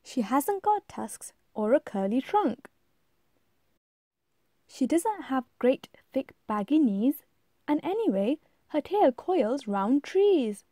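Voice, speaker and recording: Lady doing a little lost monkey for a storybook, plaintive n insistent, high and really swoopy. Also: very animated, dark tone, no room sound, clean studio sound, heavily acted. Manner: plaintive, emphatic, drawn-out protests. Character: lost, fretful, insistent young monkey searching for mum. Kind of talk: rhyming storybook read-aloud.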